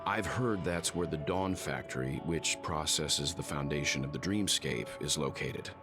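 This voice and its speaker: An actor voicing a composed, courteous gentleman in a video game, asking questions in a reserved, deliberate manner. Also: rumbling low. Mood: composed, inquiring. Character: composed, inquisitive gentleman listener, patient and courteous. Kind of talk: scripted video game story dialogue. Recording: music behind the voice. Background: game background music.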